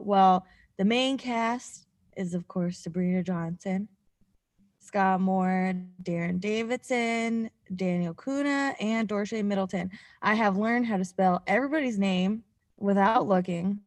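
A woman chatting casually as a podcast host, animated and giggly, with some casual swearing. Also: mid-range voice, slow, no echo. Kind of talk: casual chat.

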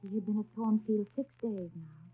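An actress playing an earnest, reserved young governess in a 1930s radio drama, speaking and narrating in a grave, polite, restrained manner. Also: female voice, mid-range voice, normal speed, breathy edge, natural voice. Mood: hushed, tense. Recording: muffled, tinny 1930s radio broadcast.

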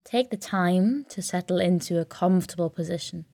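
A woman leading a guided sleep meditation in a calm, soothing, gentle way, leaving long pauses between phrases. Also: lowish voice, gravelly, no echo, studio quality. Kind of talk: guided sleep meditation, soft scripted narration.

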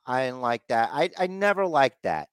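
A man's voice: medium pitch, very animated, normal speed, clean tone, no echo, clean studio sound. A congested man co-hosting a casual podcast, rambling through a recap and slipping into mocking voices. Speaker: podcast co-host recapping wrestling. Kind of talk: casual chat.

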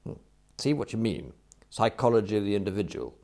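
Man acting an excitable upper-class English young gentleman. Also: lowish voice, a little fast, creaky. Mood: surprised. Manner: blustering, exclamatory, slangy. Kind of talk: acted audiobook dialogue.

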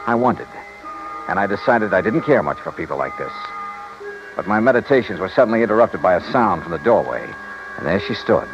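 An old, muffled radio drama with music underneath. a hard-boiled investigator whose pitch swings expressively as he talks. He is wry, skeptical and pointed, in classic detective style. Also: sing-song, brisk. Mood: wry, brooding. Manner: wry, clipped, pointed questioning. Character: hard-boiled, skeptical male private investigator, dryly probing. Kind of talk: 1950s-60s radio detective drama, first-person narration. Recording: dull old radio recording, music behind.

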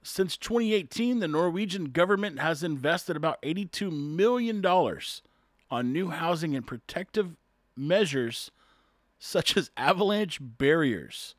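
A man's voice: fairly high pitch, lively intonation, unhurried, no echo, studio quality. A man talking casually on his own and reading an article aloud, chuckling often, rambling and tripping over words.

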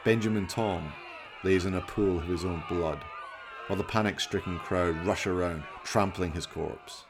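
A man's voice: sits low, steady tone, moderate pace, crowd noise, noisy background. A man reading dramatic scripted narration for a radio play.

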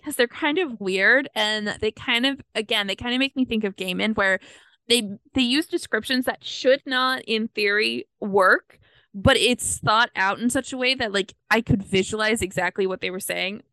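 Woman co-host, earnest and chatty, crisp clear tone, dry close sound. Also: on the high side, sharp tone, no echo. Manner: earnest, quick asides with laughs. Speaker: podcast co-host. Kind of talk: casual book discussion.

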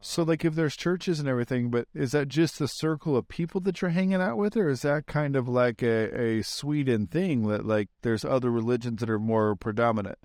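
A man's voice: mid-range voice, fairly quick, hardly any pauses, darkish tone, no room sound, studio quality. A man hosting a faith podcast, asking questions and urging listeners in an encouraging, preaching manner. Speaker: podcast host. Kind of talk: casual podcast interview.

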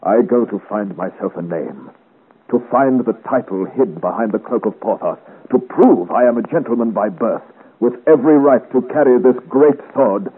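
A man's voice: on the low side, animated, moderate pace, slightly rough. An actor playing an earnest, gallant young swordsman in a 1950s radio serial, speaking in formal, courtly period diction. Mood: determined. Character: earnest, gallant young swordsman of great size, seeking his father's name. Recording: muffled, hissy old radio serial recording.

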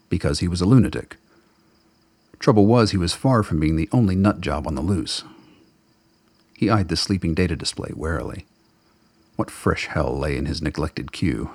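Very deep, gravelly male narrator. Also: a little mellow, no echo. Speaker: narrator of a radio play. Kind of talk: dramatic scripted narration of fiction.

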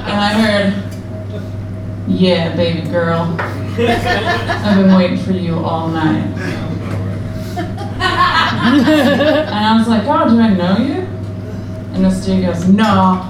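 A young woman performing a crude, self-deprecating stand-up set in a deadpan manner. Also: mid-range voice, takes it slowly, pauses often. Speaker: stand-up comedian. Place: comedy club or bar stage. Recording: echoey room, distant, noisy.

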